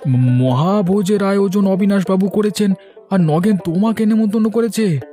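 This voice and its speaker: A man's voice: sits high, moderate pace, warm tone, natural voice. A man playing a sarcastic, grumbling poor farmer and long-suffering husband in a cartoon. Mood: incredulous. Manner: sarcastic, complaining. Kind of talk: Bengali cartoon dialogue. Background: background music.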